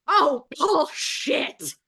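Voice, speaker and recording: Woman as defiant daughter, high, animated, pleading, for a musical table read. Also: drawn-out words, creaky, heavily acted. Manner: pleading, forceful.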